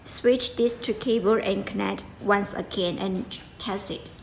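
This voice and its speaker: A woman giving an informal step-by-step product tutorial in halting, non-native English, repeating her instructions. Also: mid-range voice, steady tone, creaky, a little hiss or hum, rough recording. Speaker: product support demonstrator.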